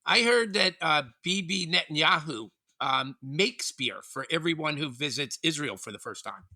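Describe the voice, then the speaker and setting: A man with a very bright, sharp, slightly high voice that moves around in lively swings. He co-hosts a podcast and drops joking one-liners into the banter, recorded dry. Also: normal speed, no room sound. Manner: wisecracking. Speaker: podcast co-host.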